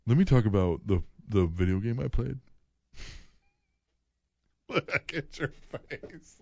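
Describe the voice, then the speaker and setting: A man's voice: mid-range voice, big pitch swings, slow pace, vocal fry, warm tone, no room sound, highs cut off. A man around thirty co-hosting a podcast, teasing his co-host and swearing casually. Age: 30s. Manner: teasing, swearing, reads listener tweets aloud. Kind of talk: casual wrestling podcast chat.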